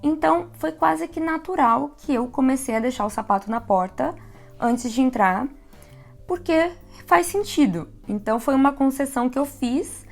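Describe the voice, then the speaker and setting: Gravelly São Paulo woman vlogging. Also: sits high, expressive, normal speed, soft background music. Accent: São Paulo Portuguese, softened. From Brazil, São Paulo. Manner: chatty, reflective, laughs easily. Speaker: vlogger living abroad. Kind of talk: casual advice vlog.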